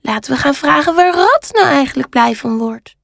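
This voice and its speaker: A woman's voice: sits high, animated, normal speed, vocal fry, fairly bright tone, dry recording, clean studio sound, full character voice. A woman voicing a kind, curious young male panda in a children's story, speaking earnestly. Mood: earnest, rueful.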